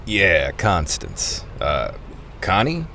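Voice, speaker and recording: A man's voice: low-pitched, huge pitch swings, extremely slow, bright, forceful tone, a little hiss or hum, exaggerated voice. An actor playing a world-weary, folksy thief in his seventies, wry, hesitant and slangy, in an audio drama. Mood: hesitant, polite. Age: 70s. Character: world-weary 75-year-old male ex-convict thief, folksy, skeptical.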